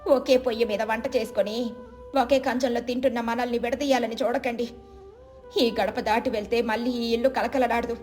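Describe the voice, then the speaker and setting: A woman's voice: on the high side, fairly even intonation, normal speed, a little mellow, soft background music, natural voice. A woman voicing a tearful, reproachful older mother of a village family in a moral story. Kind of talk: moral story character dialogue.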